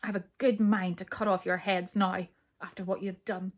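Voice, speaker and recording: A woman's voice: a little high, animated, normal speed. A woman voicing an imperious, hot-tempered king in a children's story, stern and blustering. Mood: furious, roaring.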